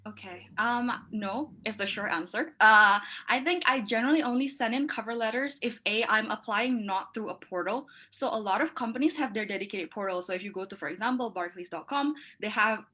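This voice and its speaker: Bright-voiced young woman from Indonesia. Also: on the high side, fairly quick, hardly any pauses. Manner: chatty, frequent "like" fillers. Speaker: panel speaker. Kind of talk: casual advice talk.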